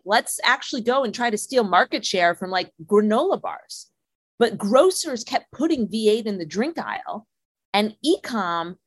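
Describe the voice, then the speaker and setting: A woman's voice: medium pitch, no echo. Woman co-host, enthusiastic storyteller, dry close recording. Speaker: podcast co-host. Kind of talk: podcast hosting, scripted intro.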